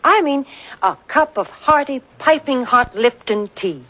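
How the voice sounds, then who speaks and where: An actress playing a cheerful announcer reading a live tea advertisement on a 1940s radio show. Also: female voice, high voice, lively intonation, measured pace. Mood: cheery. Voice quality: bubbly, lilting sales delivery. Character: cheerful woman announcer pitching tea on air. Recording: muffled old radio broadcast.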